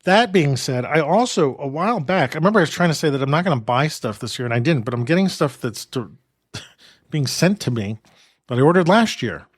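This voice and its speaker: A man from New York co-hosting a photography podcast, chatting in a relaxed, rambling way with many restarts and laughs. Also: fairly high pitch, a little fast, husky, no room sound, clean studio sound. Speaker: podcast co-host. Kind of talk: casual chat.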